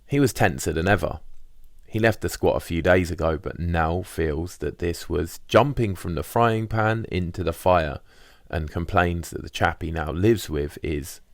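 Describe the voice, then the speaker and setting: Very deep, creaky man reading a diary. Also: dry recording, studio quality. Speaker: audiobook narrator reading a diary. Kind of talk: scripted first-person diary narration.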